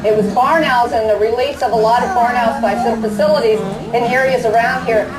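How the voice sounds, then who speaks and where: A woman's voice: a little high, fairly even intonation, brisk. A woman bird handler giving an informal, explanatory live demonstration talk to a crowd. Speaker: bird handler and presenter at an owl festival. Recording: distant echoey event recording, loud music behind.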